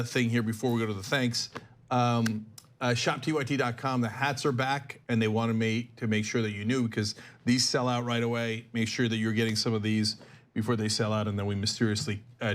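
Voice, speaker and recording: A male show host runs a live broadcast with brisk promotional patter before he turns to question his panel. His voice sits at a middle pitch. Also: a bit bright.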